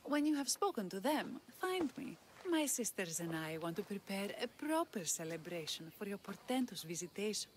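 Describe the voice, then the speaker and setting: A woman's voice: mid-range voice, very animated, moderate pace, hardly any pauses, natural voice, rough recording. A woman playing solemn priestess over ambient noise. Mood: solemn, devout. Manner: formal, reverent, grandiose. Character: solemn, devout, flattering village priestess. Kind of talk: video game cutscene acting.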